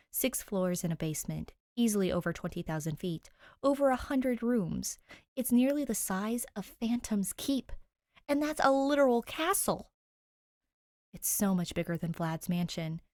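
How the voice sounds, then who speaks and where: A woman hosting and reading fan fiction aloud in an informal, bubbly, expressive way. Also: fairly low pitch, no room sound, clean studio sound. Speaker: host and reader of a fan fiction podcast. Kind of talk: casual intro chat and expressive fiction narration.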